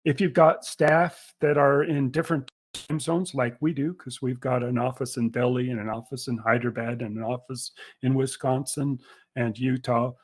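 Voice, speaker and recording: Man presenting a software webinar. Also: mid-range voice, moderate pace. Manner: explanatory, steady, with frequent ums and uhs. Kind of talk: product demo webinar.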